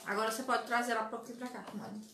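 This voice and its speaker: A woman's voice: on the high side, fairly even intonation, racing, reverberant, very noisy. Chatty woman hosting a craft live stream. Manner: chatty, greets viewers by name, frequent "certo?" tag questions. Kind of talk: live sewing tutorial chat. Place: small craft studio with shelves of dolls, indoors.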